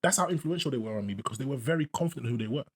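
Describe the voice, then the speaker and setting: A man, a podcast host, chatting casually and lively, putting on voices in his stories. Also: medium pitch, breathy edge. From England. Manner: lively, quick, puts on voices when telling stories. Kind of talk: casual chat.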